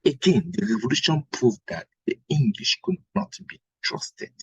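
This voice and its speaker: Husky Cameroonian man teaching. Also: fairly high pitch, no room sound, rough recording. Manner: didactic, repeats phrases and checks with "right?". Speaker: history teacher. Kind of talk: exam revision lesson.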